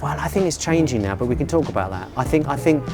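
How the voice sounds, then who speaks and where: A man hosting an interview, relaxed and playful, laughing easily, recorded outdoors on a rooftop. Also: mid-range voice, fast talker, music in the background. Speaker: host, photographer and comedian. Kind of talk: casual interview chat.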